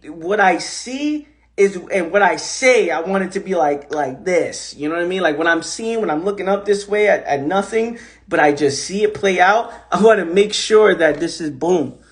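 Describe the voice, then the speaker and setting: A high-voiced man speaks into his phone on a livestream, animated and repetitive. His talk is casual and motivational, with loose swearing. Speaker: host of an Instagram live.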